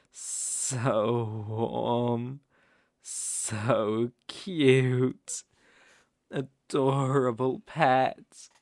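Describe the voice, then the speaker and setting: A performer voicing a smooth-talking naga captor in an ASMR roleplay, doting and sweetly sinister, coaxing with drawn-out endearments. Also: medium pitch, darkish tone, dry recording, studio quality. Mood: possessive lulling. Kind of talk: ASMR roleplay, slow intimate storytelling.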